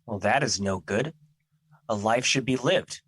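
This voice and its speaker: Man acting stern, formal personification of Fear, bright-voiced. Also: medium pitch, a little slow, slightly rough, no room sound. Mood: earnest. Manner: formal, serious, stiff phrasing. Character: Fear, stern, earnest, easily irritated male personified emotion. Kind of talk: audio drama acting.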